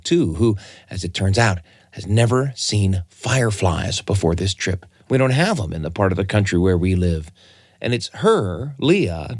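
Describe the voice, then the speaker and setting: A middle-aged American man with a fairly low voice that moves expressively up and down. He speaks as a host and storyteller, earnest and reflective, in a dry-sounding room. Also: no echo. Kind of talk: reflective conversation and personal storytelling.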